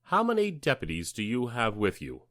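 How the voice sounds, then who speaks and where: An actor playing a ruthless official in an audio drama, giving clipped, authoritative orders. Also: male voice, medium pitch, wildly swooping pitch, normal speed, a bit bright, dry recording. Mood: stern, commanding.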